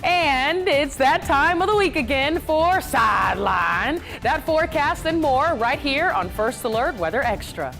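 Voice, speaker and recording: A woman's voice: high voice, lively intonation, a little slow, few pauses, over music. A woman who anchors TV news, reading teasers and bantering in a bubbly, playful way.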